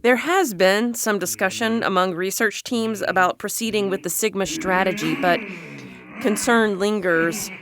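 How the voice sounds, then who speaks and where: An actress playing a formal alien research lead, dictating a clinical, deliberate log in an audio drama. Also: female voice, mid-range voice, fairly bright tone, faint music behind, lightly acted. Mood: clinical, measured.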